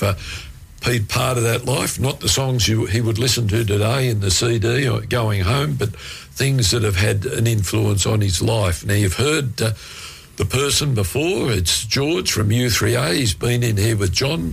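A man's voice: mid-range voice, gravelly, slightly rough. A male radio host interviewing a guest in a chatty way, with many fillers and restarts.

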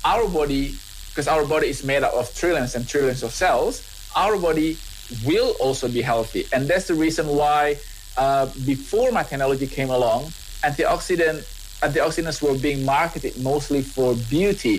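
A man's voice: a little high, a little hiss or hum, low-quality recording. Earnest Indonesian-accented man, restarting words. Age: 30s. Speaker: scientist and business owner. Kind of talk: radio interview answers.

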